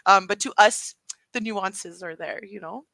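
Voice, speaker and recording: A woman from Montreal with a slightly Italian-sounding accent chats animatedly in a book club show. Her voice is bright, and the sound is dry and close. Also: mid-range voice, a little slow, gravelly, dry recording. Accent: Montreal English, Italian-sounding. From Montreal, Canada. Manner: giggly, animated storytelling. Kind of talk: casual chat.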